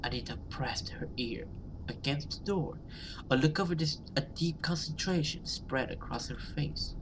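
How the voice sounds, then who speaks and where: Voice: mid-range voice, unhurried, background noise. A gaming video host who reads a horror story dramatically and adds casual commentary.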